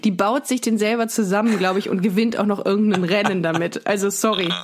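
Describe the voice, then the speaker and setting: A woman's voice: mid-range voice, fairly even intonation, quick pace. A woman hosting a casual podcast chat, speaking informally and often searching for her phrasing. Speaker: podcast host.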